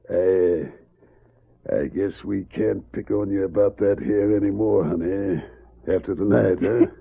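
A man playing a folksy, tender rancher father in a 1940s radio drama, with a gravelly, husky voice. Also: low voice, slow, long pauses, exaggerated voice. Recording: tinny old radio broadcast.

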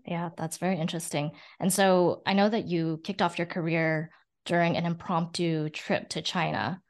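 A woman's voice: on the low side, level tone, normal speed. A woman hosting a podcast interview, asking several questions in a row. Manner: inquisitive, asks several questions at once. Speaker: podcast host. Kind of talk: casual interview.

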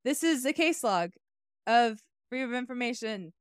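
A young woman hosting a casual podcast chat, swearing freely, excitable and quick to apologize. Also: fairly high pitch, smooth, no echo, clean studio sound. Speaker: podcast host presenting research.

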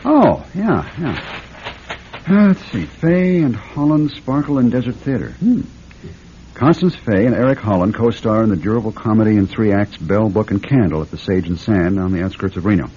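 A man's voice: on the low side, lively intonation, moderate pace, warm tone, natural voice. A man acting in an old-time radio drama as a proud, defensive film star, irritable and evasive, with a blustering manner. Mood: moody, subdued. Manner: indignant, blustering. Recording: muffled, noisy old radio recording.

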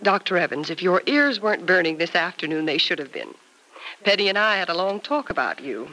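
An actress playing a warm, playful nurse and confidante in a vintage radio serial. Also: female voice, on the low side, expressive, a little fast, rough recording. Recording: old radio recording.

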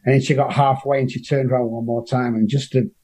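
A man's voice: medium pitch, level tone, quick pace, dark tone, low-quality recording. A middle-aged man with a Manchester accent, a guest telling his recovery story in an earnest, emotional way with frequent restarts. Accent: Manchester English. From Manchester, England.

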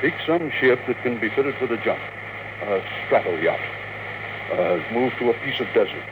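An actor playing a stubborn, commanding older tycoon obsessed with the moon in a classic radio drama. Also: male voice, medium pitch, animated, moderate pace, lightly acted. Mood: businesslike. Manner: insistent, commanding. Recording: thin, noisy old radio broadcast.

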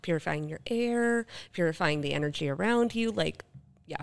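Lowish-voiced woman chatting casually. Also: animated, moderate pace, gravelly, smooth. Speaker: podcast co-host. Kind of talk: casual chat.

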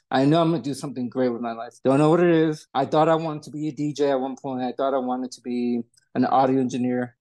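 A man's voice: mid-range voice, dark tone. A man in his twenties telling his own story in a casual, rambling way with many restarts. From United States. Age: 20s. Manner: rambling, frequent restarts and fillers. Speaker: podcast guest.

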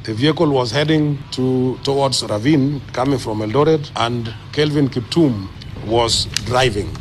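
Kenyan man, official spokesman, mid-pitched, formal and halting, faintly noisy field recording. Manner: measured, halting official phrasing. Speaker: official giving an accident report. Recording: noisy on-location news clip.

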